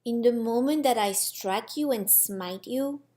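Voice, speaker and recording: A woman voicing a gentle, selfless angelic soul in a storybook, speaking in a solemn and deliberate way. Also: a little high, close to an everyday voice.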